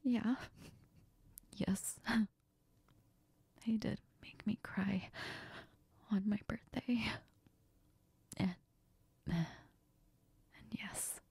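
A woman acts out a heartbroken young woman in an intimate audio drama, tearful and apologetic. She speaks slowly and haltingly, often dropping to a whisper, with a creaky catch in the voice. The sound is close and dry. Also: medium pitch, steady tone, warm tone, no room sound, full character voice. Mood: wistful, hurt. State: crying. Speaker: audio role-play performer. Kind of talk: intimate girlfriend audio role-play, ASMR-like.

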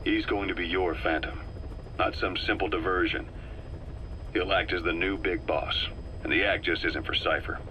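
Deep, calculating intelligence operative. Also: male voice, fairly even intonation, bright, forceful tone, slight background noise, rough recording. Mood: calm, calculating. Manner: measured, deliberate, controlled. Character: calm, calculating middle-aged male intelligence operative. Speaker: video game character. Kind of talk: dramatic game dialogue, briefing.

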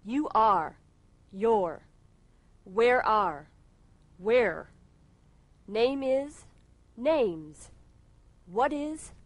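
Woman, English teacher, slow deliberate drills, lively up-and-down pitch, dry close sound. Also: dry recording. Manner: repeats each phrase carefully, clearly articulated.

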